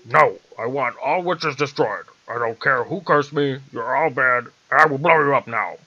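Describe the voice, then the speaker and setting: Blustering military general, angry and commanding, forceful bright voice performed by a man, storybook villain, dull recording. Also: mid-range voice, normal speed.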